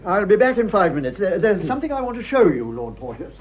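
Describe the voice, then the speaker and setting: A man's voice: high-pitched, big pitch swings, quick pace. An actor playing a stuffy, earnest, hesitant man in his thirties with an upper-class English accent in a radio play. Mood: fussy, anxious. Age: 30s. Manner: prim, hesitant, solemn. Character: stuffy, earnest, fussy son of thirty-five. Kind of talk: stage comedy radio acting. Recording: dull, muffled old radio drama.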